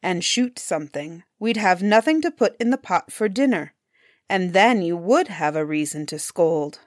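A woman's voice: fairly low pitch, lively intonation, moderate pace, no room sound, clean studio sound, natural voice. A woman voicing a kindly but firm peasant hunter in a storybook tale. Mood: weary. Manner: firm, mild-tempered.

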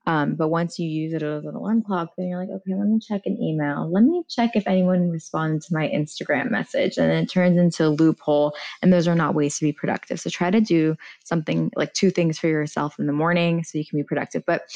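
A woman's voice: low voice, creaky, darkish tone. A woman in her thirties, a former TV journalist and founder, talking candidly on a panel and dropping plenty of "like" and "you know". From United States. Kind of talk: casual panel chat.

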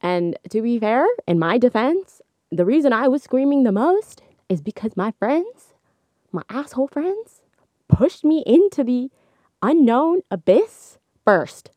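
A young woman hosting a solo podcast, chatting casually into a microphone. She laughs often, and her voice is slightly hoarse. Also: a little slow, no echo. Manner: chatty, laughs often, animated swings in pitch. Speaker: podcast host. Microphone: studio microphone.

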